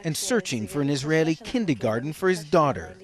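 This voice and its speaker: A man narrating a television news report in a steady, measured broadcast voice. His pitch sits a little above most men's. The delivery is even and deliberate. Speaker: news correspondent.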